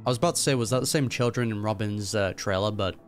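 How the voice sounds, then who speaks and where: A man's voice: on the low side, quick pace. A man hosting a gaming reaction, commenting casually in a laid-back, slangy way. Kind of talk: casual game playthrough commentary. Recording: game music behind the voice.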